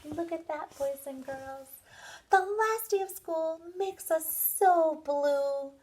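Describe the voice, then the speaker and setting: A woman teacher reading a picture book aloud to young children in an expressive, deliberate storytelling manner. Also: extremely high pitch, poor audio.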